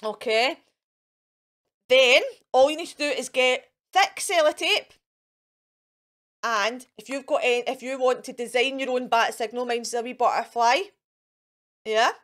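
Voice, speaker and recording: A Scottish woman with a fairly high voice hosts for young children. She talks in a chatty, encouraging way, as if straight to the viewers at home. Her phrases are simple and often repeated, with little questions to keep the children involved. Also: unhurried, long pauses, a bit bright. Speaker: children's storytime host. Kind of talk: chatty children's craft and activity presenting to camera.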